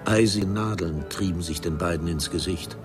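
Low voiced guy narrating a radio play, formal and solemn, music under him. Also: moderate pace. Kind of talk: scripted literary radio drama narration. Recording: music behind the voice.

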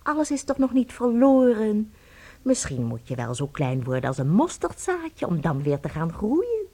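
A husky-voiced doting wife in a radio play. Her pitch swoops widely as she coos, frets and exclaims. She talks with great tenderness, as if to someone small and precious. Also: huge pitch swings, moderate pace, mellow, full character voice. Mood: consoling, tender. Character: doting wife fussing over her shrunken husband.

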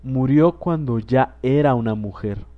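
A man voicing a calm, grave male ghost in an audiobook reading, in a near-natural tone. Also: mid-range voice, unhurried, phone-quality sound, close to an everyday voice. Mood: solemn. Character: solemn male ghost of the past. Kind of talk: audiobook character voice.

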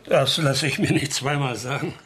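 Ein Schauspieler spielt in einem deutschen Hörspiel einen jovialen, verschmitzten Barbesitzer mittleren Alters, der umgangssprachlich poltert. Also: male voice, mid-range voice, normal speed, fairly bright tone, a little hiss or hum, close to an everyday voice. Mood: jovial, sly. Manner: chuckling, colloquial, blustery. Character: jovial, cagey bar owner with contempt for swindlers. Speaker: restaurant owner in a radio drama. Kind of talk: German radio play acting.

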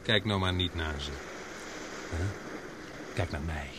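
An actor playing a capable young expedition man in a radio drama, speaking in a measured, matter-of-fact way. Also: deep voice. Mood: light, relieved. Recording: noisy old radio play recording.

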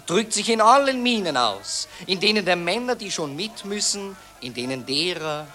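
Casting: a smug, declaiming newsman, high, lively and bright. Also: normal speed, exaggerated voice. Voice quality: pompous, declamatory. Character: pompous male journalist, glib and self-satisfied. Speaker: newspaper reporter. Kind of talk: radio drama acting.

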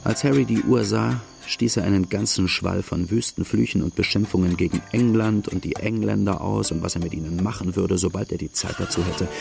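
A man's voice: low voice, gravelly, darkish tone. An actor narrating a radio drama in the first person as a plain-spoken outlaw looking back on his boyhood.

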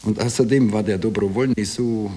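A man's voice: on the low side, steady tone, moderate pace, dark tone, husky. An actor playing a haunted Austrian former corporal, telling his wartime imposture in colloquial dialect in a 1960s radio drama. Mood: agitated justification. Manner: colloquial dialect storytelling, broken into short phrases. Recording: hissy old radio tape.

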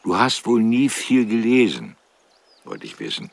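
An actor playing a sardonic, bookish, world-weary man with a gravelly voice and a wry, brooding manner in a radio drama. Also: on the low side, wildly swooping pitch, a little slow, noisy background, heavily acted. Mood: teasing, curious.

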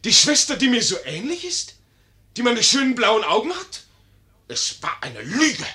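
Casting: hotheaded, dim young officer, swooping pitch, very forceful tone, theatrical vintage radio drama. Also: male voice, wildly swooping pitch, a little slow, exaggerated voice. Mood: wounded, pompous. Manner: blustering, overblown, theatrical. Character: blustering, foolish, indignant young army lieutenant. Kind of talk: 1950s German radio play acting.

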